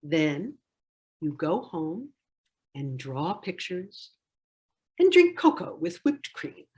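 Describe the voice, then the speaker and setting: A woman reads a picture book aloud in a deliberate, phrase-by-phrase way. Her voice is slightly husky and breathy, moving slowly with very lively swings in pitch. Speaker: storybook reader.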